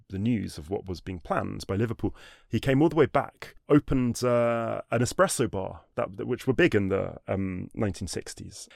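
A man telling a history story in a conversational way, animated, with frequent restarts and fillers like "sort of" and "um". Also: fairly low pitch, vocal fry, no echo, studio quality. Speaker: history guest.

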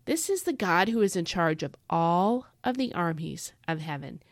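Woman podcast host, stuffy with a cold, lowish voice, dry close sound, earnest and emphatic. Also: expressive, normal speed, no echo. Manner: earnest and emphatic, repeats phrases for stress; chatty with fillers and asides. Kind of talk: devotional podcast talk.

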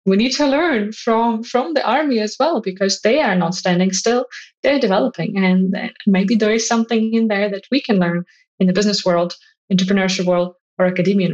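A woman's voice: medium pitch, a little fast, a little mellow. A woman hosting a conversational interview, explaining things in an earnest way as a leadership coach would. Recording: slightly dull, lo-fi audio.

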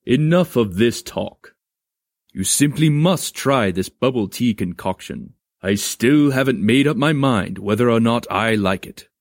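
A man's voice: mid-range voice, expressive, a little slow, no room sound, studio quality, pitched below the performer's own voice. A man voicing a doting god of the underworld in a story, with a suave, formal, drily playful manner. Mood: teasing.

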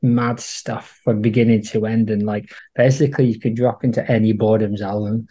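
A man's voice: fairly low pitch, flat delivery, brisk, warm tone. A man in a casual music discussion, talking as an enthusiastic, opinionated podcast panellist.

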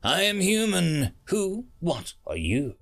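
Defiant soldier, played by a man, sarcastic, casual, swinging pitch, creaky edge, storybook hero. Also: huge pitch swings, slow pace, fairly bright tone, faint music behind, heavily acted. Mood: puzzled, firm. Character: flippant dead human male soldier, unimpressed, defiant.

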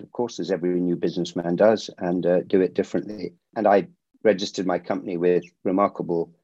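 A middle-aged man who grew up in India, a security company founder answering interview questions in a measured way with frequent restarts. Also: deep voice, warm tone, slightly rough, dry recording, dull audio.

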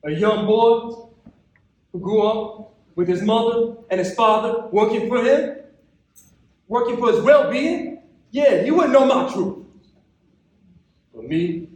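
Very echoey distant recording, male actor playing hurt young American man, high voice, impassioned stage monologue, dramatic pauses, bitter and streetwise. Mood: anguished, defiant. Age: young adult. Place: theatre stage at a festival. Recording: echoey hall, recorded from far off.

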